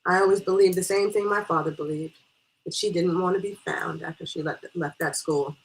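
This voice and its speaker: A woman's voice: lowish voice, steady tone, brisk, natural voice, rough recording. Woman acting a reserved, patient small-town hostess. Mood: matter-of-fact.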